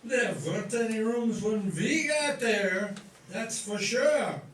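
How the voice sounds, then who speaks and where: A woman's voice: fairly high pitch, takes it slowly. A woman playing a genial, clueless grandfather in a live comic radio play, folksy and oblivious. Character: Mary's genial, clueless old father, new grandfather. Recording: distant echoey room, some noise.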